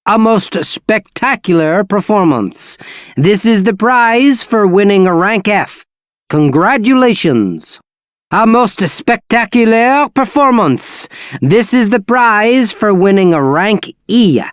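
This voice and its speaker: A woman with a British accent playing an excitable, courteous battle arena attendant in a video game, polite and theatrical. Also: mid-range voice, takes it slowly, no echo, studio quality. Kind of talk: video game character acting.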